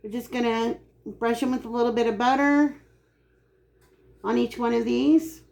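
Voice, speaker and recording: Raspy woman chatting while cooking. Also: fairly high pitch, steady tone, normal speed, darkish tone. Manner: chatty, explains steps while working. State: dry, raspy throat. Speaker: cooking channel host. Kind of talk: casual cooking demonstration. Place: home kitchen.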